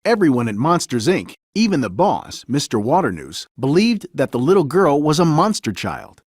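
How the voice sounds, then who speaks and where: A man narrates a children's storybook in an expressive, dramatic way. His fairly high voice swings freely up and down, and the sound is close and dry. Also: normal speed, slightly rough, no room sound. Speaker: storybook reader. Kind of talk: children's story read-aloud, scripted narration.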